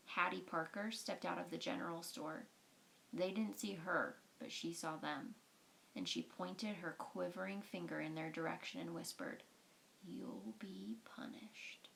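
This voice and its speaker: A woman's voice: lowish voice, fairly even intonation, moderate pace, vocal fry, fairly bright tone, slight background noise, poor audio. A woman reading a storybook aloud as a storyteller, explaining things in simple, informal words.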